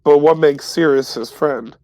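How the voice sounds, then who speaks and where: A man's voice: a little high, unhurried, a little mellow, no room sound, close to an everyday voice. A man voicing a cautious, suspicious teenage adventurer in a tabletop game, plain-spoken and insistent.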